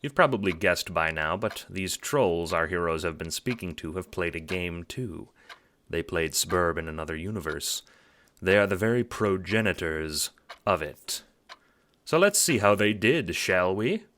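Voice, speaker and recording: Deep-voiced man narrating with animated range. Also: measured pace. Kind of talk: dramatic second-person scripted audiobook narration.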